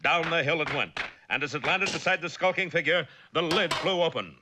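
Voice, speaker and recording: A man's voice: fairly high pitch, brisk, natural voice. A man playing a breathless, mock-serious narrator of a classic cartoon serial, urgent and florid. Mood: urgent, dramatic. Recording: old cartoon soundtrack with faint hiss.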